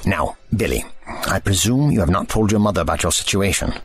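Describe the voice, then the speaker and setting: A deep-voiced, eccentric investigator, played by a man in an audio drama. He speaks politely and formally, with calm authority, and his pitch moves about a lot over background music. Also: fairly quick. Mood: calm, explanatory. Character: eccentric, courteous male time-travelling investigator, calm and analytical.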